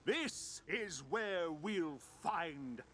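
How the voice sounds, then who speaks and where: An actor playing a bombastic old-time gentleman adventurer in a video game, pompous and theatrical. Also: a little high, lively intonation, drawn-out words, a little hiss or hum, heavily acted. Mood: triumphant, eager. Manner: pompous, grandiose, exclamatory.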